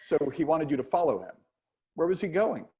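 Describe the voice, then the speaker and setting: A man playing a patient, gently teasing dance instructor of sixty-one in a play reading. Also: a little high, big pitch swings, fairly quick. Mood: gently probing. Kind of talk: play reading over video call.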